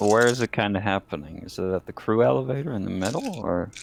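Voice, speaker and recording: A man's voice: fairly low pitch, lively intonation, fast, creaky, a little hiss or hum. A man playing a tabletop roleplaying game, chatting casually and swearing freely.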